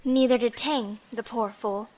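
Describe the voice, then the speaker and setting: A woman's voice: on the high side, steady tone, unhurried, a little mellow, close to an everyday voice. A young woman acting in an audio drama as an earnest, pleading bride-to-be who is secretly a bandit. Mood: earnest, sorrowful.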